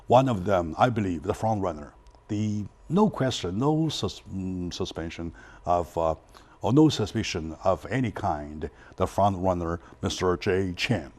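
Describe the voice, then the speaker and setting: A man hosting a community TV interview show, talking haltingly with many restarts and fillers. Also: low voice, expressive, darkish tone. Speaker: talk show host.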